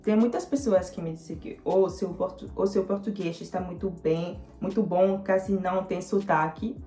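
A young woman in her twenties, a native French speaker with a French accent, chatting to camera with many fillers and pauses as she answers viewer comments. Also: lowish voice, fairly even intonation, dark tone, reverberant, soft background music. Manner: hesitant, many fillers, switches into English. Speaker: vlogger. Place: small indoor room.

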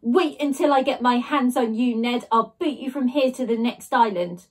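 A woman reading a storybook aloud, voicing a furious, bossy girl who shouts threats. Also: fairly high pitch, normal speed, lightly acted. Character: furious bossy bellowing older sister, a girl.

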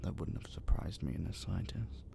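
A man playing a possessive, obsessive masked kidnapper in an intimate roleplay audio drama, coaxing and menacing in a breathy, gravelly, teasing whisper. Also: background noise, lightly acted. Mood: coolly possessive. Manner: slow, dominant, cooing and teasing.

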